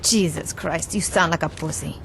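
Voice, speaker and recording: A woman's voice: sits low, normal speed, vocal fry, background noise. Cocky, ruthless gang boss woman. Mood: cold contempt. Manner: foul-mouthed, sardonic, cocky. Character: ruthless, mocking female street gang boss. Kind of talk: dramatic video game cutscene acting.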